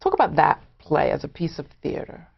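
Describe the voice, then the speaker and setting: Lowish-voiced woman interviewer with creaky edge. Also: big pitch swings, fairly quick, muffled recording. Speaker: interview host. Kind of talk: conversational interview questioning.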